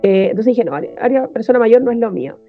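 A woman's voice: fairly high pitch, expressive, quick pace, dry recording, highs cut off, soft background music. A woman hosting an interview, talking fluently and conversationally. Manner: fluent, conversational, uses colloquial fillers. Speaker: interviewer, host. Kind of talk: interview chat.

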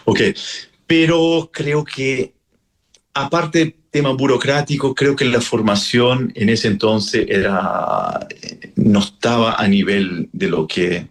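A man's voice: medium pitch, lively intonation, takes it slowly. A man from Chile, a classical singer explaining his craft in a radio interview, thoughtful and full of fillers.

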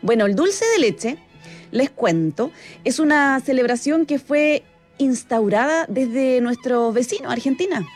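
A Chilean woman hosts live radio in a chatty, conversational style, with a fairly high voice. She addresses her listeners and colleague directly, and light music plays behind her. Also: expressive, measured pace, darkish tone. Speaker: radio host. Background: background music.

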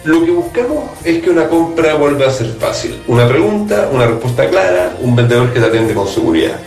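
A Chilean startup CEO giving a scripted, persuasive pitch and speaking straight to the listener. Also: male voice, big pitch swings, brisk, darkish tone, roomy sound, far from the mic, soft background music. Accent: Chilean Spanish.

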